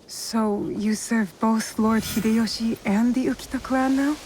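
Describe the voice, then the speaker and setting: A woman's voice: fairly high pitch, steady tone, measured pace, darkish tone, slight background noise, lightly acted, rough recording. A woman playing a determined young avenger in a video game, speaking in a direct, controlled, probing way. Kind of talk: video game cutscene acting.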